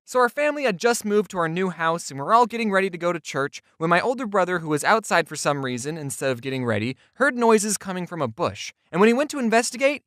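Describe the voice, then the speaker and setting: Comedic storyteller for scripted narration. Expressive, wide-ranging intonation, playful with deadpan asides, very dry studio-like sound. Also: mid-range voice, dry recording. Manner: playful, self-deprecating, with deadpan asides and acted quotes.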